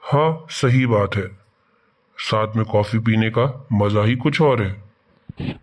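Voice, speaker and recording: A man's voice: lowish voice, takes it slowly, slight background noise. A man playing a principled schoolteacher, defensive but understanding, in scripted cartoon dialogue. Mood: gentle.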